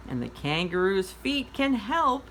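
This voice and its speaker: Slow-paced, animated woman hosting children's story time. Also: medium pitch, a little hiss or hum, rough recording. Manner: simple encouraging phrasing with questions to young listeners. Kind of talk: reading and activity instruction for young children.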